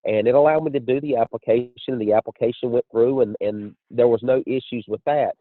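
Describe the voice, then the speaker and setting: A man giving a measured, explanatory testimonial as an insurance agent on a conference call. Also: medium pitch, steady tone. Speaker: insurance agent and training director. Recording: muffled phone line with room echo.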